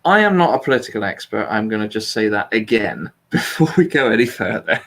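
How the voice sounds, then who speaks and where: A man's voice: lowish voice, sing-song, fairly quick, a bit bright. A British man hosting a casual political chat, speaking bluntly with swearing and a self-deprecating streak. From Britain. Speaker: host.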